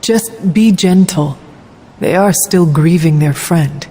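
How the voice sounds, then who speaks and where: An actress playing a solemn resurrected warrior in a video game, speaking formally and earnestly with measured care. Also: female voice, on the low side, dark tone, slightly rough. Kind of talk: video game cutscene acting.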